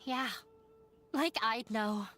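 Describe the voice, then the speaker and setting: A woman's voice: a little high, takes it slowly, bright, forceful tone, soft background music. An actress playing a weary, self-blaming young runaway woman in a video game, subdued and resigned. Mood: bitter, resigned.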